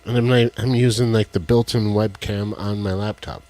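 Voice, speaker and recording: A man with a deep voice, co-hosting a casual live stream and joking as he fiddles with his tech. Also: fairly even intonation, normal speed, dark tone. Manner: rambling, jokey, fiddles with tech while talking. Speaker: co-host of a live stream show. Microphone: headset with headphones.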